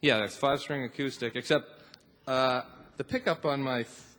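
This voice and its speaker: A man, a musician being interviewed on stage, answering casually and a bit haltingly. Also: medium pitch, fairly bright tone. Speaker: band bassist being interviewed. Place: on stage in a theater. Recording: reverberant theater hall.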